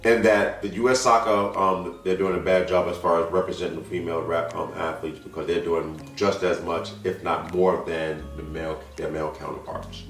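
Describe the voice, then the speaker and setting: A man hosting a podcast, playful and quick to jump in with short replies. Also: low voice, flat delivery. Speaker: podcast host. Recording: echoey room, far from mic, faint music.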